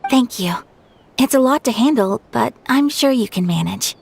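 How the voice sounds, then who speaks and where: An actress playing a gracious young noblewoman in an anime game, speaking calmly, formally and politely. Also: a little high, normal speed, creaky, a little hiss or hum. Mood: calm, reassuring.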